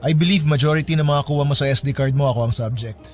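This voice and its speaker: A man's voice: a little high, quick pace, darkish tone, soft background music, close to an everyday voice. A man with a Filipino accent acting a haughty, curt young law student with formal speech in a radio drama. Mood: stern, curt. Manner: curt, formal, code-switching.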